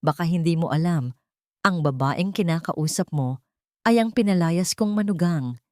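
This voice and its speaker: A woman's voice: fairly low pitch, normal speed, a little mellow, no echo, studio quality, natural voice. A woman voicing a haughty, cruel rich mother-in-law in a story, with a Filipino accent and a sneering, menacing manner. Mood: mocking.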